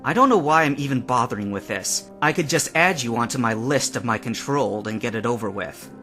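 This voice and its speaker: A man's voice: medium pitch, lively intonation, brisk, soft background music. A man playing a young man who has turned cold and menacing, giving curt commands. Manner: cold commands, bursts into desperate shouting.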